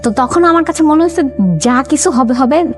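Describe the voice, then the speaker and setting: A young woman from Chittagong with a fairly high voice tells her life story to an interviewer. She speaks earnestly and gratefully, often with religious phrases. Music plays steadily under her voice. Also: normal speed. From Chittagong, Bangladesh. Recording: background music under speech.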